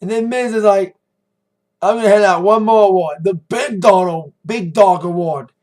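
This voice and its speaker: Man, American accent, high pitch, slightly hoarse, informal vlog review, rambling, emphatic. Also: moderate pace, darkish tone. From United States. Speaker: wrestling review vlogger. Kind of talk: casual recap commentary to camera.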